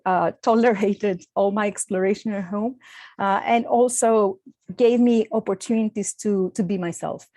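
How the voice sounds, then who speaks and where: Greek-accented woman, middle-aged, mid pitch, earnest presenter, dry close mic. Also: darkish tone, dry recording. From Greece. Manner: earnest, with frequent uh and um fillers. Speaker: astronomer giving a talk. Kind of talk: presented talk with slides.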